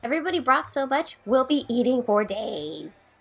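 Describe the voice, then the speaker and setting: A woman's voice: a little high, big pitch swings, normal speed, slightly rough, faint music behind, full character voice. A woman playing a peppy, friendly young mother in an audio drama, chatting in a chirpy way. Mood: cheerful, welcoming.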